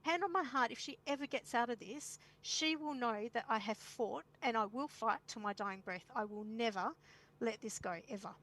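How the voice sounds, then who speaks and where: A woman's voice: fairly high pitch, moderate pace, a bit bright. A woman with an Australian accent speaking as a guest parent at an online forum, earnest and emphatic, repeating phrases for stress. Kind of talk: impassioned forum talk.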